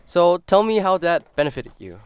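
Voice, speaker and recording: A man hosting a morning radio show, upbeat and friendly, with a lively, swinging pitch. His voice is smooth and clear, recorded dry and close in the studio. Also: normal speed, no echo. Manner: upbeat and friendly, asking questions.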